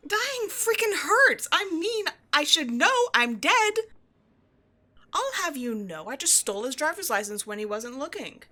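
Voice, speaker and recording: A woman's voice: high voice, very animated, unhurried, sharp tone, exaggerated voice. A woman playing a petty, theatrical ghost from an old horror film in a scripted comedic audio roleplay, mock-sinister and easily exasperated. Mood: flustered, exasperated.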